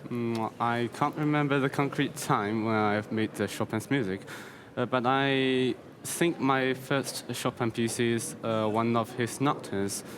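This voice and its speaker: Halting eighteen-year-old man, crowd behind. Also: mid-range voice, fairly even intonation. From China. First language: Chinese. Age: teens. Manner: halting, searching for words. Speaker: competition pianist guest.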